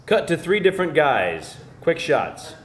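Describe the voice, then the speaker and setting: A man's voice: on the high side, very animated, a little slow. A man reading out stage directions at a live screenplay table read. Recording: echoey room with faint noise.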